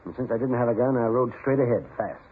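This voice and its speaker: An actor playing a determined, feisty country doctor in an old-time radio western. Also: male voice, mid-range voice, fast talker, slightly rough, natural voice. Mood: indignant, determined. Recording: noisy, narrow-band old radio recording.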